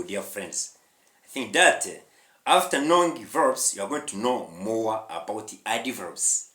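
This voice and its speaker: A man's voice: on the low side, fairly bright tone. A man from Rwanda with a Rwandan accent, teaching an online English lesson emphatically, with repetition and short questioning interjections. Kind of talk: online language lesson, addressing viewers directly. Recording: echoey room.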